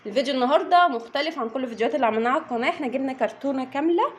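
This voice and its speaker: A woman's voice: high-pitched, very fast. A young woman with an Egyptian accent, chatting casually to viewers as she unboxes snacks and counts items aloud. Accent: Egyptian Arabic. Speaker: family vlogger and mother. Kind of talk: casual unboxing vlog chat.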